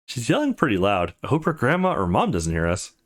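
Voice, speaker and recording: A man's voice: mid-range voice, huge pitch swings, brisk. A man voicing the self-assured male protagonist of a visual novel, reading his thoughts and lines casually and expressively. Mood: amused. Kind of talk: reading game narration and dialogue.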